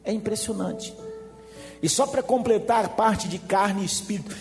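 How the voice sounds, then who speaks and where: High-voiced pastor preaching in a hall. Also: male voice, normal speed, vocal fry. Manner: emphatic, swings from shouting to quiet asides, jokey. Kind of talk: sermon. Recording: long hall reverb with faint music.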